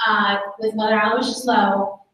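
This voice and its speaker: A woman's voice: mid-range voice, level tone, moderate pace, a bit bright. A woman from central Pennsylvania shyly telling a family story, laughing and rambling with filler words. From central Pennsylvania, USA. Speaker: alumna guest. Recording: echoey room, mic far off.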